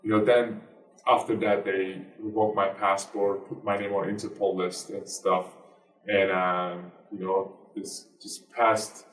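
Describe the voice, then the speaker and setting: A young man with a Turkish accent, answering interview questions earnestly in halting speech full of fillers. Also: fairly low pitch, little pitch movement, fairly bright tone. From Turkey. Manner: earnest, halting, many fillers and broken grammar. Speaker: guest, basketball player and activist. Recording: roomy, distant from the mic.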